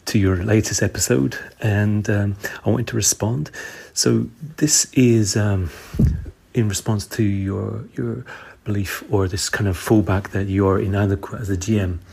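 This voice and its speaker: A man leaving an unscripted call-in message for a podcast, speaking in a halting, hesitant way and circling back on his points. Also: lowish voice, gravelly, a little mellow, breathy edge.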